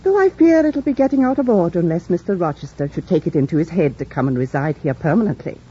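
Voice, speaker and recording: A woman's voice: lowish voice, expressive, quick pace, warm tone, slightly rough. An actress playing a kindly, fussing older housekeeper in a vintage radio drama, motherly, genteel and chatty. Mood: hospitable. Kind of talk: 1940s-50s radio drama acting. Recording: dull vintage radio recording.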